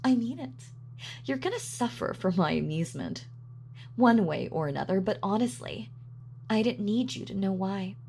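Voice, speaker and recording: A woman's voice: mid-range voice, very animated, normal speed, creaky, a little mellow, a little hiss or hum. A woman playing a gloating, sadistic supervillain in an audio roleplay, with a mock-sweet, sardonic, taunting manner. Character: gloating female supervillain, sadistic, darkly playful. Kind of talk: villain monologue in audio roleplay.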